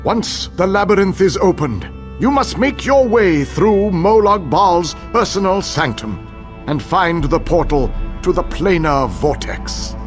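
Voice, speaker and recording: A grave fantasy war leader in a video game. His voice sits fairly high for a man and swings widely as he gives solemn, measured orders, with music faintly behind him. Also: animated. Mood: grave, rousing. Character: grave commanding male leader rallying troops before battle. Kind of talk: scripted fantasy game dialogue.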